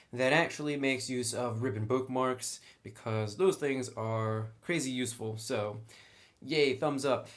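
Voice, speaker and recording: A man's voice: medium pitch, a bit bright. A man giving an informal, chatty review commentary with wry asides, as a tabletop game book reviewer. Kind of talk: casual scripted-light review commentary.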